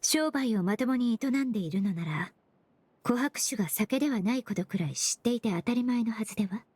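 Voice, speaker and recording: A woman's voice: a little high, lively intonation, a little fast, darkish tone, husky. An actress in a Japanese game dub playing a composed, analytical young woman investigator who speaks politely and methodically. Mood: coolly probing.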